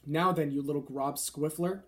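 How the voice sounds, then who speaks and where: A man voicing huge, menacing man-eating giants in a children's storybook, with booming shouts and mangled grammar. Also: steady tone, moderate pace, close to an everyday voice. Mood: bullying, suspicious.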